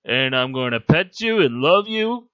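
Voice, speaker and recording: Man doing a slow, cooing, doting snow monster; hoarse yet bright-toned, muffled lo-fi recording. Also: on the high side, very animated, no echo, heavily acted. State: hoarse from laryngitis. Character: dopey doting big male snow monster.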